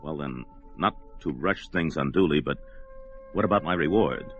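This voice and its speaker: An actor playing a brawny young barbarian warrior who talks in a gruff, blunt way. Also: male voice, rumbling low, lively intonation, normal speed. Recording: dull lo-fi radio play with music.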